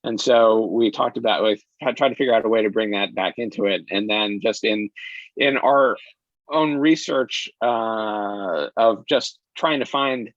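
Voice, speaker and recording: A man being interviewed as a director, talking casually and haltingly, restarting phrases as he searches for words. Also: fairly low pitch, dry recording. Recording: dull lo-fi sound.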